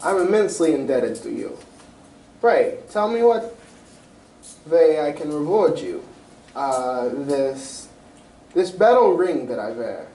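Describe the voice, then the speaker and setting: A man acts a grandiose king in an old-style radio play on stage. The voice is somewhat high, with pompous, formal delivery, picked up from far off in an echoey room with faint noise. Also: big pitch swings, slow pace, pauses often, mellow, full character voice. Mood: grateful, grand. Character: anxious, grandiose Victorian-era male king. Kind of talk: radio drama stage acting. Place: community theatre stage reading. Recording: echoey hall, distant mic, faint noise.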